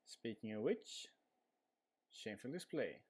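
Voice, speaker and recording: A man's voice: mid-range voice, sing-song, measured pace, heavy background noise. A man with a Swedish accent giving casual live commentary on a card game stream, rambling with lots of fillers. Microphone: headset.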